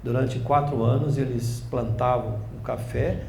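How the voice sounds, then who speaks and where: A man in his sixties from Brazil, a journalist guest telling family stories in a relaxed interview, halting and restarting often. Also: mid-range voice, creaky. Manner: rambling, halting storytelling with many restarts. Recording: echoey room, faint background noise.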